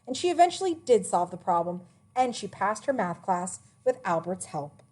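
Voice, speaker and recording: A woman reading a children's biography aloud as a narrator, with expressive, emphatic phrasing. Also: mid-range voice, moderate pace.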